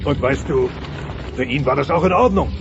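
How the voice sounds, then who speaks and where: A man's voice: medium pitch, moderate pace, background noise, natural voice. An actor playing a bossy, melodramatic middle-aged dinosaur father in a radio play, blustering and theatrical. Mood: reflective. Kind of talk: German-dubbed sitcom radio play. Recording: dull cassette transfer.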